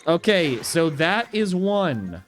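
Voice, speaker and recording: Young male streamer, relaxed game commentary, lively pitch, faint music underneath. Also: slow pace. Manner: chatty, reads chat aloud, laughs easily. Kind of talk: casual gameplay commentary.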